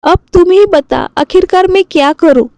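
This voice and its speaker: A woman's voice: extremely high pitch, moderate pace, warm tone, dry recording, voice pushed up. A woman voicing an earnest, frustrated young city daughter-in-law in a cartoon family story. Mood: aggrieved. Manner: earnest, aggrieved pleading.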